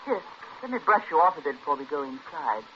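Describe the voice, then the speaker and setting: A woman's voice: deep voice, sing-song, fast talker. An actress playing a tender but firm, anxious woman looking after a child in a 1950s radio drama. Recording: muffled vintage radio broadcast with hiss.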